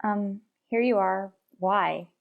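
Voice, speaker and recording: An actress playing a naive, trusting young stowaway in a radio drama, innocent, eager and unguarded. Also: female voice, mid-range voice, slow pace, gravelly, narrow, tinny audio. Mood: curious, uneasy.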